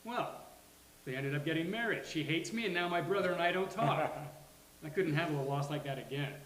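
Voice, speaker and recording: A man's voice: a little high, a little fast, fairly bright tone, lightly acted. A man playing a candid, dryly sarcastic, protective best friend in a live sitcom table read. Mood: dry, teasing. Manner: dry, earnest, with emphatic outbursts. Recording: roomy live venue with faint hiss.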